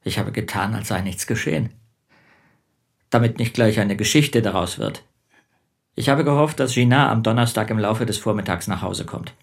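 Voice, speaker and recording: An actor playing a lonely, withdrawn immigrant shopkeeper in a radio play, answering tersely, hesitantly and defensively. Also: male voice, fairly low pitch, moderate pace, gravelly, husky. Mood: defensive, subdued. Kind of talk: naturalistic German radio play acting.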